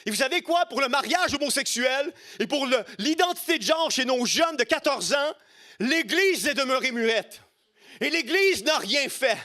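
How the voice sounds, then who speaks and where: A man's voice: extremely high pitch, lively intonation, moderate pace, bright, forceful tone. A middle-aged man with a Quebec French accent preaching an impassioned sermon, emphatic and rhetorical, repeating key phrases. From Quebec, Canada.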